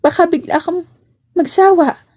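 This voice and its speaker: A woman's voice: extremely high pitch, a little slow, warm tone, voice pushed up. A woman voicing an emotional, tender young woman in love in a romance audio drama. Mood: anxious, tender.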